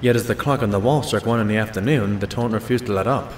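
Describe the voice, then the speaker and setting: A man playing a loyal pony sidekick narrating his detective friend's cases in an audio drama, measured and mildly amused. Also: lowish voice, a little hiss or hum, natural voice. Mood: reflective. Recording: roomy voice over faint music.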